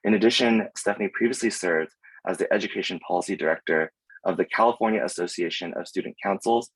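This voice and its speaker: A man's voice: sits low, quick pace, slightly rough, no echo, low-quality recording. A man moderating an online event over a video call, reading speaker introductions from a script with some fillers.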